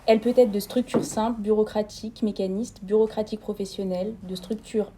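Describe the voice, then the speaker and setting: A woman's voice: mid-range voice, flat delivery, a little fast, warm tone, slight background noise. A young woman playing a quiz contestant, reading prepared answers with small hesitations. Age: young adult.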